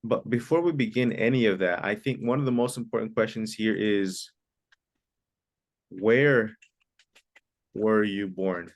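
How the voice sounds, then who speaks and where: A man's voice: lowish voice, unhurried, pauses often. A man teaching an online English class, patiently repeating key phrases and sometimes explaining in Spanish. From Mexico.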